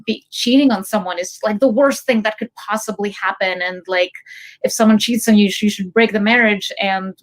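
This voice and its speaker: A woman's voice: medium pitch, moderate pace, rough recording. A woman from Greece, a filmmaker guest, answering interview questions thoughtfully with many fillers.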